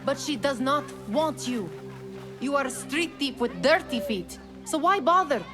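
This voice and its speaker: A woman's voice: high-pitched, animated, a little slow, music in the background. An actress playing a cautious, teasing young female thief in a game cutscene, with a dry, chiding manner. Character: sharp-witted young woman, cautious, teasing companion thief. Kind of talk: video game cutscene acting.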